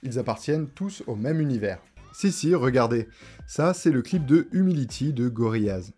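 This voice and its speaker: Man narrating, mellow, over music. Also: mid-range voice, expressive, moderate pace. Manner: informal, rhetorical questions, direct address to viewers. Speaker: host of a cartoon video essay channel. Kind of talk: conversational scripted video essay narration. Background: background music.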